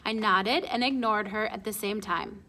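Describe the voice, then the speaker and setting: A woman reading a children's chapter book aloud in an expressive storytelling style. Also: medium pitch, moderate pace. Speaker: storybook read-aloud reader.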